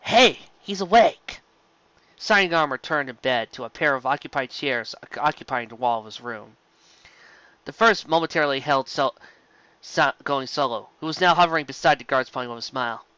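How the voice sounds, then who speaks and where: A man's voice: medium pitch, a little fast, sharp tone, smooth, no echo, dull audio. A man narrating a scripted piece of fan fiction as an amateur reader, now and then stumbling over words and rereading them.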